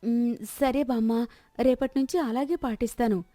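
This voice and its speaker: A woman's voice: on the high side, steady tone, normal speed, dark tone, no echo, close to an everyday voice. Woman as a polite, innocent-sounding housewife. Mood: obliging. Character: polite, obliging young housewife turned slyly defiant.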